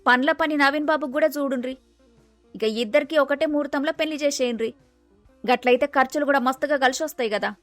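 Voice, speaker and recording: A woman's voice: high voice, fast talker, no room sound, soft background music, close to an everyday voice. A woman with a Telangana accent playing a blunt, scheming young daughter-in-law in a family story. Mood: sly suggesting.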